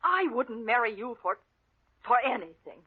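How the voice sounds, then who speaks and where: An actress playing a defiant young heiress in an emphatic, dramatic 1940s radio style. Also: female voice, a little high, sing-song, normal speed, breathy edge. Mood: indignant. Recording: muffled narrow-band old radio recording.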